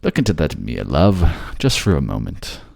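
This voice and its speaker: A man's voice: extremely low pitch, huge pitch swings, moderate pace, creaky, a little mellow, husky, no room sound, clean studio sound, full character voice. A man playing a suave, manipulative fantasy ruler who coaxes his captive in an intimate ASMR audio drama. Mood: tender teasing. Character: suave manipulative male water-folk ruler, soothing captor.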